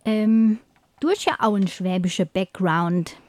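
A woman's voice: medium pitch, a little slow, darkish tone, dry recording. A woman interviewing a guest in a friendly, chatty way. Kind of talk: casual interview chat.

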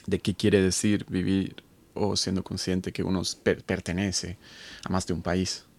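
A Colombian-born man in his thirties, a researcher answering interview questions in a thoughtful, halting way with many fillers. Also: deep voice, flat delivery, darkish tone. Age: 30s. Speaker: guest researcher. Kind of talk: conversational interview.

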